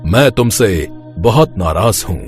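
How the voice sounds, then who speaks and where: A man's voice: lowish voice, animated, measured pace, faint music behind. A man voicing a solemn, displeased god who speaks with grave reproach in a devotional story. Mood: solemn, reproachful.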